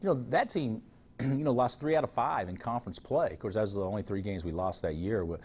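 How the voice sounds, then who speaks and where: A man in his forties with a low, husky voice, talking in a plain, frank way as a basketball coach. He often stumbles and repeats words before getting a thought out. He sounds like he is on a lapel mic in a remote studio. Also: fast talker, darkish tone. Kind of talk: TV interview answers.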